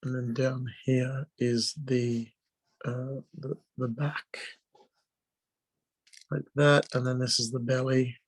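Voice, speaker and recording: A man with a hoarse, strained voice from being sick, informally explaining drawing step by step as a teacher on a live video call. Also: mid-range voice, flat delivery, slow, long pauses, low-quality recording. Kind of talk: casual live online drawing lesson, talking while drawing.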